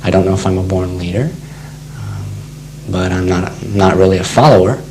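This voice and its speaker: A middle-aged man from Texas with an American accent, introducing himself in a halting, deliberate, unscripted way. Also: sits low, steady tone, dark tone, rough recording. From Texas, United States. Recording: clear background noise with hum.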